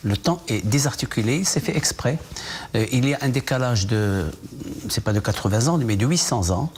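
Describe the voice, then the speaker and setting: A man's voice: medium pitch, expressive, moderate pace, a little mellow, a little hiss or hum. A man from Morocco, a novelist, answering questions in a TV news studio, hesitant with many fillers and restarts. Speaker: novelist guest. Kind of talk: TV news interview.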